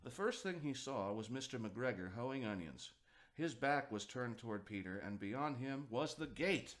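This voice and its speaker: A man narrating a children's picture book as a storyteller, reading expressively for young listeners. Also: mid-range voice.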